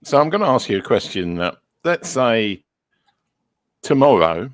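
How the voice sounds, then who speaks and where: A man co-hosting an interview, asking questions in a playful, animated way over a video call. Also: mid-range voice, a little slow, no echo, studio quality. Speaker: co-host.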